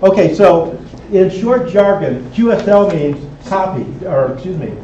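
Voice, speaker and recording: A man's voice: high-pitched, animated, measured pace, warm tone. A man instructing a group in practical, explanatory fashion, often checking that they follow. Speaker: instructor at an amateur radio club. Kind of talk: hands-on group teaching, explaining to a room. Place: indoor club meeting room. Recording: echoey room, distant dull mic, faint noise.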